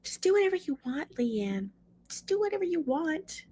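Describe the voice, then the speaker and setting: A woman's voice: sits high, sing-song, moderate pace, mellow. A middle-aged woman, hosting a casual livestream, reading news aloud and chatting with viewers by name. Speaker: livestream host.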